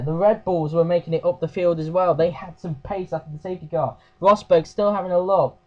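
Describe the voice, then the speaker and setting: Croaky man recapping a race. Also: a little high, highs cut off. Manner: hesitant, with fillers and self-corrections. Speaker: race review host. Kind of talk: casual race recap narration.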